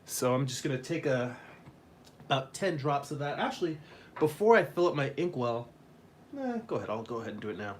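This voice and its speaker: A man's voice: medium pitch, big pitch swings, fairly quick, a little hiss or hum. A man who works as a comic artist, chatting casually and a bit ramblingly as he hosts a live stream. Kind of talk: casual live-stream chat while demonstrating.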